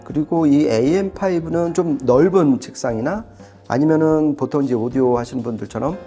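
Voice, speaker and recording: A man's voice: on the high side, a little fast, dark tone, soft background music. A man reviewing audio products in conversational commentary, explaining things with casual, emphatic phrasing.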